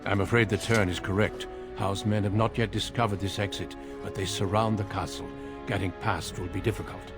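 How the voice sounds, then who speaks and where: An actor playing a courteous, grave warrior recruiter in a video game, speaking in a measured, formal way. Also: male voice, on the low side, steady tone, loud music behind the voice, lightly acted. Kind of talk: dramatic video game acting.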